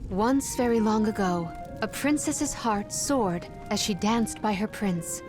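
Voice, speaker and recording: A woman's voice: mid-range voice, close to an everyday voice. A woman voicing a composed, poetic young woman in a video game, speaking formally and precisely. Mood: reciting solemnly. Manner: formal, measured, precise wording. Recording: game music behind the voice.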